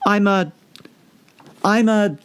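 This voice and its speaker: A man's voice: sits high, slow pace, fairly bright tone, clear, resonant tone, voice pushed up. A man voicing an earnest, polite little girl, puzzled and easily indignant, in a storybook character voice.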